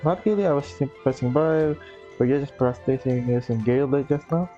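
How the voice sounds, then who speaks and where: A curious young traveler, read aloud by a man in his everyday voice. The tone is mellow and a little dark. The recording is dull and lo-fi. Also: medium pitch, fairly quick, soft background music, natural voice. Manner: plain reading aloud. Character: the player's own character, curious young traveler.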